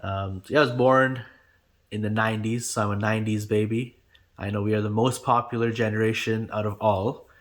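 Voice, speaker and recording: A man's voice: fairly low pitch, steady tone, brisk. A man in his twenties, Gujarati native speaker from India, chatting casually in an interview with lots of fillers and easy laughter. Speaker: channel host being interviewed.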